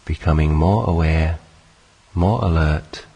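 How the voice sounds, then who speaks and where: A man leading a guided meditation, speaking calmly in short, unhurried phrases with long pauses between them. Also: a bass voice, creaky, slightly rough. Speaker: meditation teacher.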